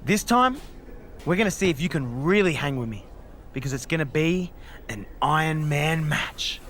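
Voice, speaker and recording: A cocky high-voiced rival wrestler. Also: male voice, lively intonation, moderate pace, fairly bright tone, a little hiss or hum. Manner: cocky, challenging. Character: cocky, competitive male rival pro wrestler. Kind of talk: video game cutscene acting.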